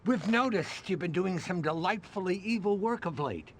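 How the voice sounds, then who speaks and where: A man voicing an excitable, gleefully evil talking bubble villain in a cartoon game, with theatrical delivery. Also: high-pitched, moderate pace, slight background noise. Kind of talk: video game cartoon voice acting.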